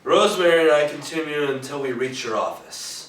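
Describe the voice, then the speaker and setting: An actor playing a cool, wry private detective narrating a 1940s-style radio noir on stage, in an echoey room from afar. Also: male voice, on the high side, a little fast, fairly bright tone, close to an everyday voice. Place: theatre stage, recorded from a distance. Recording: echoey stage, distant mic, faint noise.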